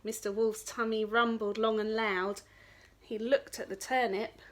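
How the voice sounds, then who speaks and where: A woman storyteller giving an expressive reading of a children's story. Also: fairly high pitch, unhurried.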